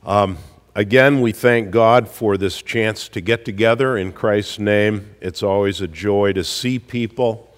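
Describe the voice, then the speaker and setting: A male pastor with a hoarse, gruff voice from a cold, welcoming a congregation in a slow, folksy way with many pauses. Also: fairly low pitch. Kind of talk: church service welcome and announcements. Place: church sanctuary. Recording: live church hall with long reverb.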